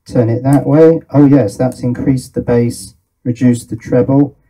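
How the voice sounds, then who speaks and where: A middle-aged man presents as a hobbyist at a workbench, in an average-pitched voice. His talk is casual and hands-on. He thinks aloud and puts questions to himself as he goes. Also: steady tone, unhurried, warm tone, husky, rough recording. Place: indoor workbench.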